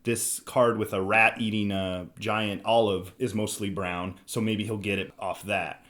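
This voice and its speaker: Male podcast host, lower-pitched, casual and explanatory board game chat. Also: flat delivery, measured pace, fairly bright tone.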